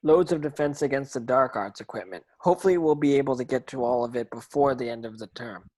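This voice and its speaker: A man's voice: mid-range voice, quick pace, darkish tone, lightly acted. A man reading the part of an earnest teenage boy wizard instructing his schoolmates in an amateur script read-through. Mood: earnest, instructive. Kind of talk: amateur table read of a screenplay.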